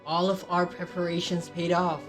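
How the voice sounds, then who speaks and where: A woman's voice: on the low side, flat delivery, normal speed. A woman streamer casually commenting and reading along as she plays a story game. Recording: game music under the voice.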